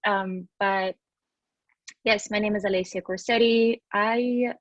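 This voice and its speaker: A woman's voice: mid-range voice, steady tone, takes it slowly, no echo, muffled recording. A woman in her thirties with a Russian accent, telling her story casually and expressively as a podcast guest, in a chatty way. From Western Siberia, Russia.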